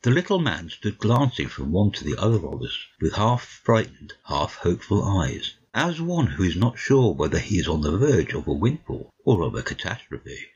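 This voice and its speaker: Deep, husky male story narrator, muffled. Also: big pitch swings, normal speed, hardly any pauses, a little mellow. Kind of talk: scripted audiobook narration of a classic story. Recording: dull, slightly muffled audio.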